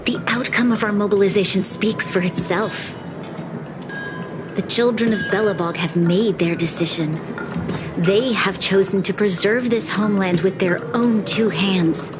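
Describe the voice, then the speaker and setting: A woman playing an earnest, resolute young female leader in a video game cutscene, speaking in a measured, formal way. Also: mid-range voice, close to an everyday voice. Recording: loud game music behind the voice. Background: music.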